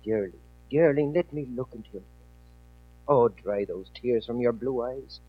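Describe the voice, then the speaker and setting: A man's voice: sits high, big pitch swings, normal speed, husky, heavily acted. An actor playing a young Irishman in love in a 1940s radio play, speaking with an Irish accent in an earnest, pleading way. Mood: tender. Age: young adult. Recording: dull old radio recording, faint music.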